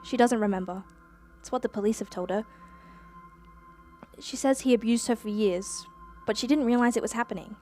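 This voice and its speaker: An actress playing a chatty, earnest young time traveller with an Australian accent, narrating a radio play in a breezy, confiding way. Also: female voice, fairly high pitch, moderate pace, long pauses, a little mellow, soft background music, natural voice. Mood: hushed sympathy.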